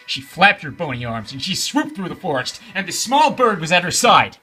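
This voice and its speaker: A man narrates a bedtime story for children with theatrical emphasis. His voice is fairly high and very bright, swinging through lively pitch changes over music. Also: moderate pace. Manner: theatrical, emphatic, repeating phrases for suspense.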